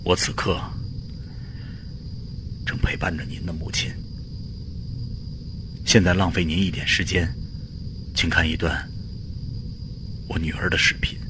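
Over noticeable background noise comes a man playing a middle-aged engineer and desperate father. His voice is low, and he pleads in a halting, emotional way, in radio drama style. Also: level tone. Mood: earnest, polite.

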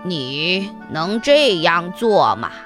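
A woman voicing a strong, scornful, slow-witted male giant in a children's fairy tale, with drawn-out, challenging speech. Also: lowish voice, very animated, a bit bright, faint music behind, voice pushed down, exaggerated voice.

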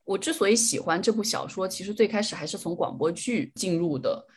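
A woman's voice: medium pitch, animated, a little fast, breathy edge. A young adult woman chatting casually on a solo podcast, reflective and repeating words for emphasis as she shares her opinions. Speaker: podcast host.

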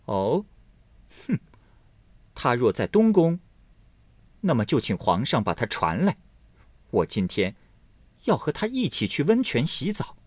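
A man voicing a jealous, sharp-tongued young imperial consort who scolds shrilly. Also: mid-range voice, huge pitch swings, a little slow, pauses often, husky, no room sound, pitched above the performer's own voice, exaggerated voice. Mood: mocking.

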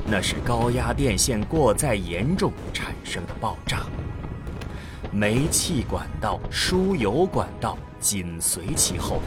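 A man gives dramatic scripted narration for a science fiction audio drama, in a storytelling style. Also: medium pitch. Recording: background music under the voice. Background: music.

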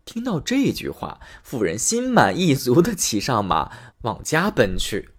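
A man narrating a fairy tale for a storytelling podcast, lively and expressive. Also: mid-range voice, a little slow, slightly rough.

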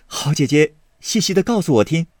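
An eager young nobleman teases and coaxes in a bright, lifting voice, voiced by a man. The delivery rises and falls widely and sounds dry and close. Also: on the high side, animated, a little fast, no echo, pitched above the performer's own voice. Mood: teasing. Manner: eager, teasing.

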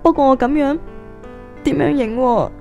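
A woman's voice: sits high, normal speed, gravelly, dark tone. A woman playing a tender, tearful young ghost bride in radio drama. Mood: doubtful. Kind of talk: Cantonese radio drama acting. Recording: music under the voice.

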